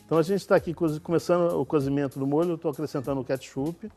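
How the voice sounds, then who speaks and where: A man in his forties from Minas Gerais, a guest chef calmly explaining a recipe step by step in a TV studio kitchen. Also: on the high side, racing, soft background music. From Minas Gerais, Brazil. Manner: relaxed, explaining step by step with small restarts. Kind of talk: cooking demonstration.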